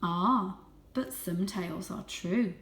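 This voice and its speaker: A woman voicing a wise old grandfather cat, the quiet head of his family, with a measured, authoritative manner. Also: on the low side, distant mic. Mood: quiet, knowing. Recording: echoey room.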